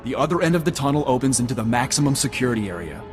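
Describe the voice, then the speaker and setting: An actor playing an embittered, loyal soldier of a prisoner commando unit in a video game, intense and emotional. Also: male voice, medium pitch, very animated, very fast, close to an everyday voice. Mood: bitter, urgent. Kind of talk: video game dramatic dialogue. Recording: long reverb, music underneath.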